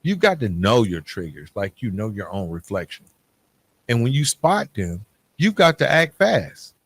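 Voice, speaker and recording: A man's voice: lowish voice, big pitch swings, measured pace, husky, no echo. Candid man hosting a solo podcast. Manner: candid, informal, punctuates points with "right?". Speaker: podcast host. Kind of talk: solo podcast talk on recovery, half-scripted and conversational.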